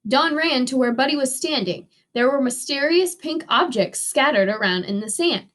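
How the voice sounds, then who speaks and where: Teacher lady reading a kids book out loud, high voice, really sing-song up and down, dramatic emphasis. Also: expressive, moderate pace. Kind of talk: expressive children's storybook read-aloud.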